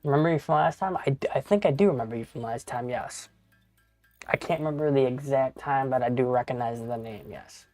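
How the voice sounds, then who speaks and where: A man's voice: mid-range voice, brisk, a little mellow, no echo, faint music behind. A man in his thirties chatting casually with viewers while playing a game on a live stream, rambling and swearing, often calling people bro and dude. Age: 30s.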